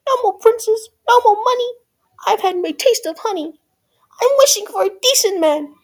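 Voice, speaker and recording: A woman's voice: very high-pitched, expressive, normal speed, pitched above the performer's own voice. A woman voicing a feisty young fairy-tale heroine in an exclamatory, squealing storybook manner. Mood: earnest, wishing.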